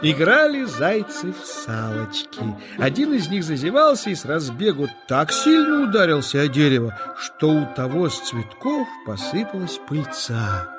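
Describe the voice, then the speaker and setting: Guy reading a kids nature tale, gentle, highish voice, goes slow, music underneath. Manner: expressive, gentle storyteller delivery. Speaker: narrator. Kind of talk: scripted children's storytelling.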